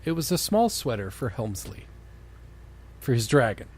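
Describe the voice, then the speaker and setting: A man's voice: medium pitch, sing-song, moderate pace, slightly rough. A man co-hosting a comedy podcast, reading a story aloud and breaking off for jokey, casual comments. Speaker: podcast co-host reading fan fiction aloud. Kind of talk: comic dramatic reading with casual banter.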